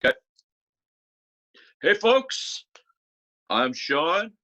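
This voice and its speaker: A man's voice: fairly high pitch, very animated, extremely slow, bright, forceful tone. A man chatting casually and informally as co-host of a talk show. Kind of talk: casual chat.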